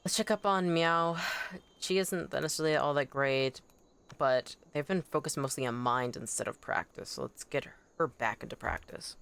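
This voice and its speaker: A game streamer with a clear, smooth voice and Anishinaabemowin as a first language chats casually to viewers. The delivery is animated and excitable, wandering through thoughts with frequent self-corrections. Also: medium pitch, normal speed. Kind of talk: casual livestream chat while gaming.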